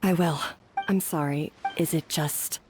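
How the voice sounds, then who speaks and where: A woman's voice: sits low, steady tone, a little slow, very noisy. A woman playing a remorseful, insecure young chef in a dramatic game scene, hesitant, apologetic and subdued. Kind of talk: dramatic video game voice acting. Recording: clear music behind the voice.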